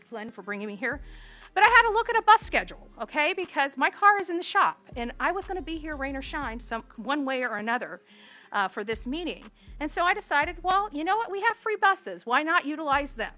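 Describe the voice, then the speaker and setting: Bright-voiced woman, high-pitched, speaking publicly. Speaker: resident activist giving public comment. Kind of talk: public comment at a government meeting. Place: county meeting room. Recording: music behind the voice. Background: music.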